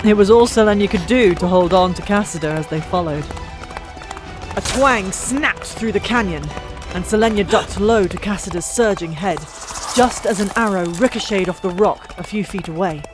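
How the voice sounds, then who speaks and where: A narrator reading a story in a dramatic, expressive way, with drawn-out pauses for emphasis. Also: medium pitch. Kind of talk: dramatic scripted audiobook narration. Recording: old mic, background music. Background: music.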